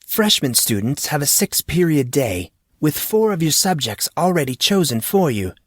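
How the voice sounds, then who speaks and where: A man's voice: fairly high pitch, big pitch swings, normal speed, no echo, clean studio sound, voice pushed up. Man playing a precise, scholarly history teacher. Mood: pleased, formal. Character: formal, pedantic, genial male history teacher.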